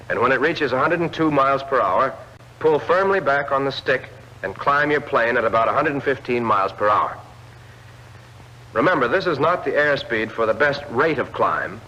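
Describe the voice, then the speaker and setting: A man reads scripted instructional narration for a 1940s military training film, clearly addressing the trainee directly. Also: mid-range voice, bright, forceful tone. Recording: old film soundtrack, dull lo-fi band.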